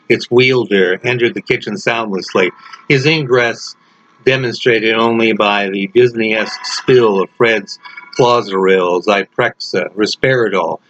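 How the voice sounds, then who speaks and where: A man reading a dark horror story aloud as its author, in a theatrical, dramatic delivery. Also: on the low side. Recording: noisy, dull lo-fi home recording.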